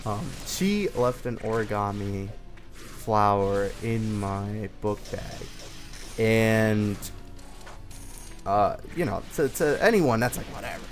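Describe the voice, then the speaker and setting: A man's voice: fairly low pitch, lively intonation, slow. A young man telling a personal story in a casual, rambling, hesitant conversational way. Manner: informal, hesitant, conversational delivery. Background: background music from a game.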